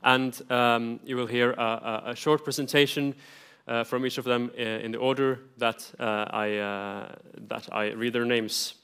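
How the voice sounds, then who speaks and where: A man introducing speakers as a conference moderator, in a hesitant, halting way. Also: medium pitch, little pitch movement, fairly bright tone, clean tone. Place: conference hall stage.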